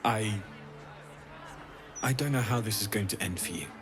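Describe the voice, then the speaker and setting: A man playing a gentle, awkward adventuring companion in a video game, hesitant and sincere. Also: fairly low pitch, normal speed, a crowd in the background, lightly acted. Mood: tender regret. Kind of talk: dramatic video game acting. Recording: music behind the voice.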